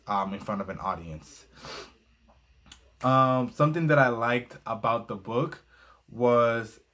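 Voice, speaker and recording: A young man with a fairly low voice speaks in English, his second language after Spanish. He reviews a book casually for his channel, stumbling into fillers and restarts as he reads from notes. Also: fairly bright tone.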